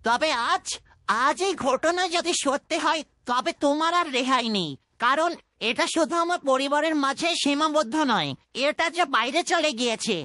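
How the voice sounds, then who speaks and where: A woman's voice: sits high, moderate pace, sharp tone, no room sound, clean studio sound. A woman voicing a stern, reproachful father and head of household in a fairytale cartoon. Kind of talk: children's fairytale cartoon dubbing.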